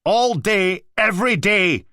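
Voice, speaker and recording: A man voicing an overwrought male police sergeant who shouts and rants. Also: high-pitched, animated, takes it slowly, no echo, clean studio sound, voice pushed up, heavily acted. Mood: furious. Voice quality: shouting, ranting.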